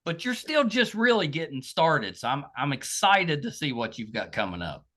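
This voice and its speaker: A man's voice: on the high side, big pitch swings, normal speed, pushed and bright. An older American man hosting a casual, chatty interview in an encouraging, chummy way. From American South. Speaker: podcast host and interviewer.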